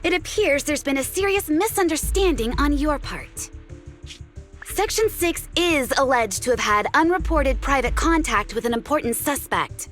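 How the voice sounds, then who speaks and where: High-voiced, composed young officer. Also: female voice, expressive, fairly bright tone, soft background music. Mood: calm, diplomatic. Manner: formal, measured, diplomatic. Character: composed, polite, shrewd young female officer. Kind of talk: dramatic video game voice acting.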